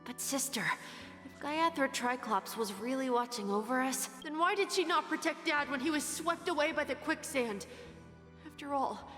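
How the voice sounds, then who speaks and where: A woman's voice: high voice, fairly bright tone, long reverb tail, soft background music. A woman playing an earnest, grieving young child who questions faith, in a dramatic video game scene. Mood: sorrowful, questioning. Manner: earnest, solemn, measured.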